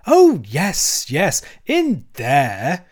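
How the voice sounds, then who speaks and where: A man voicing a vain, excitable male toad from a storybook, stubborn and gushing in delivery. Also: fairly high pitch, very slow, pitched above the performer's own voice, heavily acted. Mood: impatient, gushing. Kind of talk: storybook character voice.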